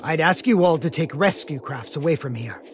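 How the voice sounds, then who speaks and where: An actor playing a solemn, soft-spoken mage burdened by regret, with formal, measured speech in an animated game cutscene. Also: male voice, a little high, a little hiss or hum, natural voice. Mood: grave, explaining. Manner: formal, measured, articulate wording. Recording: dramatic music under the voice.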